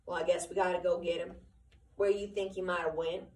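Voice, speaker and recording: A woman reading aloud, voicing a calm ranch man who talks quietly and steadily. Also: fairly low pitch, fairly quick, a little hiss or hum, lightly acted. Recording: roomy, from far off.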